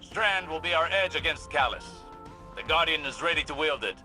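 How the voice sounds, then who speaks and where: An actor playing a grave, formal sci-fi strategist in a game cutscene, speaking with urgency. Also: male voice, fairly high pitch, animated, moderate pace, bright, forceful tone, faint music behind, rough recording. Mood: grave, urgent. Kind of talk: video game cutscene acting.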